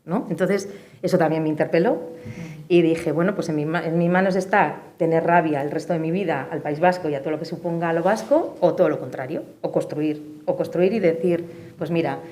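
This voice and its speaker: A woman's voice: low voice, brisk, warm tone. A middle-aged woman with an accent from Spain, giving personal testimony as an activist on a forum panel. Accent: Spanish from Spain. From Spain, Madrid and the Basque Country. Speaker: panelist, peace movement activist. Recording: clear background noise and room ambience.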